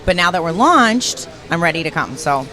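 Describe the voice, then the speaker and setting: A woman's voice: fairly low pitch, sing-song, moderate pace, sharp tone, low-quality recording. A Canadian woman in her thirties with a light Canadian accent, a radio host and program director chatting casually and upbeat in an interview.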